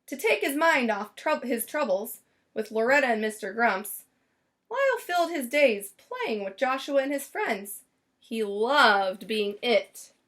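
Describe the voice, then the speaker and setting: Female storybook narrator, high-pitched and very melodic, expressive, leans on key words. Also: a little slow. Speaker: storybook reader. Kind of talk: expressive children's read-aloud narration.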